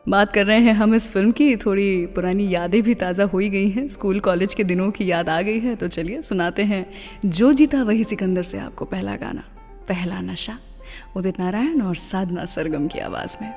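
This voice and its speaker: An Indian woman hosts a radio show with a mid-pitched voice. She reads in a scripted yet personal way and drops in English phrases. A long reverb surrounds her, with music playing behind. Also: moderate pace, darkish tone. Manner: mixes English phrases into Hindi. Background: background music.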